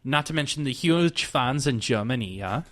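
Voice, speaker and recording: Brief: young male podcast co-host, mid pitch, jokey, laughs a lot, animated. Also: normal speed. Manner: laughs often, animated and joking. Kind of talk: casual chat.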